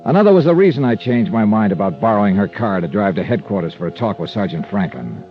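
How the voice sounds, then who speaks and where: An American actor playing a tough, wry insurance investigator in 1950s radio drama, clipped and sardonic. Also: male voice, lowish voice, big pitch swings, quick pace. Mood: brisk narrating. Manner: hard-boiled, clipped, sardonic. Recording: dull old radio recording with music.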